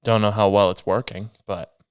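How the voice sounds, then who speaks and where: Sniffly young dude rambling solo on his podcast, smooth voice, dead dry sound. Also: on the low side, flat delivery, normal speed, no echo. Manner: rambling, self-deprecating, meandering restarts. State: sniffly, stuffy nose. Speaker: podcast host. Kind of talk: solo casual podcast monologue.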